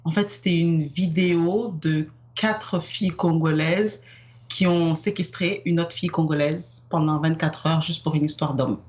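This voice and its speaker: A woman's voice: low voice, steady tone, measured pace, darkish tone. A young woman from France, guest on an interview podcast, answering candidly with many fillers. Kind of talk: casual interview.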